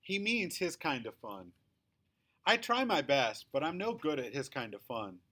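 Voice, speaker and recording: A man reading a children's story aloud in the first person, with expressive, emphatic storytelling. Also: medium pitch, unhurried. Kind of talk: scripted children's book read-aloud, first-person narration.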